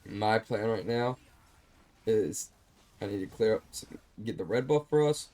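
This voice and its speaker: Mellow-voiced man, stuffy, calmly explaining. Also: on the low side, unhurried. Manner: calm, explanatory, self-deprecating. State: slightly stuffed up. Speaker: streamer reviewing his own game. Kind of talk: gameplay commentary over a replay.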